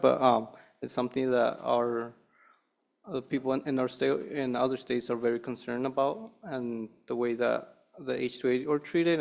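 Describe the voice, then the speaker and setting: Gravelly man reading testimony. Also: mid-range voice, darkish tone. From Guerrero, Mexico. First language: Mixteco. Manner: hesitant, many ums and restarts. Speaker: community organizer.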